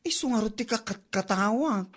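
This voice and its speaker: A woman's voice: mid-range voice, animated, moderate pace, close to an everyday voice. A woman playing a brash, gossipy, teasing friend in a comedy drama, with an Ilocano accent. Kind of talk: comedy drama podcast acting.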